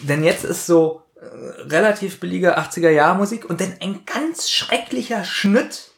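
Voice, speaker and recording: A man's voice: high voice, lively intonation, moderate pace, vocal fry. A man co-hosting a podcast, chatting casually and commenting with blunt, critical interjections. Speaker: podcast co-host.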